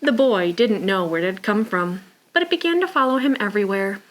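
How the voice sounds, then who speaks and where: A woman's voice: medium pitch, a little fast, slight background noise, rough recording. A woman reading picture books aloud for a preschool storytime, speaking expressively and simply, as if to young children. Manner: expressive, with sighs, gasps and exclamations; simple child-directed phrasing. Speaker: children's librarian storytime presenter.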